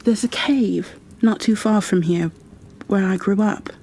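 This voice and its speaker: A woman playing a gentle, protective creature bookkeeper in a soft-spoken ASMR roleplay, hushed, soothing and a little hesitant. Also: medium pitch, unhurried, husky. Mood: gentle, reassuring.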